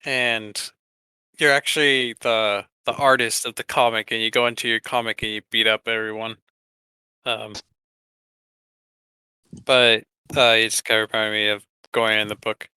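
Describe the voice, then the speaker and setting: A man co-hosting a podcast in casual chat, talking haltingly with trailing asides. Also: on the low side, flat delivery, pushed and bright, clear, resonant tone, no room sound. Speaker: podcast co-host.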